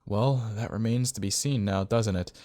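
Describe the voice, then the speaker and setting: A man's voice: on the low side, normal speed, dark tone, lightly acted. A man voicing a brusque, impatient and callous male doctor in a dramatic story. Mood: sardonic.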